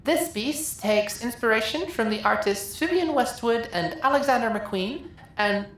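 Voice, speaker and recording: A woman's voice: fairly high pitch, lively intonation, unhurried, fairly bright tone, reverberant, voice pushed up. A woman voicing an anxious, polite young fashion student, earnest and careful, in an audiobook drama. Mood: poised, presenting. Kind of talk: audiobook character acting.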